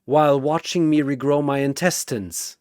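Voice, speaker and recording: A man voicing a gruff, battle-worn alien warrior with a rough, gravelly, curt delivery in a fantasy battle story. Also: a little high, steady tone, normal speed, a little mellow, no room sound, clean studio sound, lightly acted. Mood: blunt, dry. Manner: curt, interrupting.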